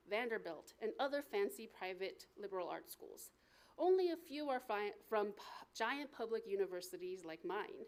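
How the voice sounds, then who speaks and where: An American woman reading aloud from her memoir as its author, in an expressive, deliberate storytelling manner at a live event. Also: mid-range voice. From United States. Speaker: author reading from own book.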